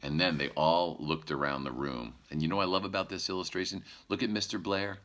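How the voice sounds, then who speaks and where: A man's voice: low-pitched, fairly even intonation, fairly quick, a bit bright. A man reading a children's story aloud playfully, with asides to young listeners.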